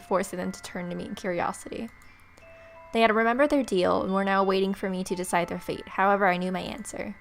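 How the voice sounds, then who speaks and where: A young woman hosting a let's-play, reading game narration aloud expressively and dropping in playful, casual reactions. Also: mid-range voice. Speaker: let's-play video host. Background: game music.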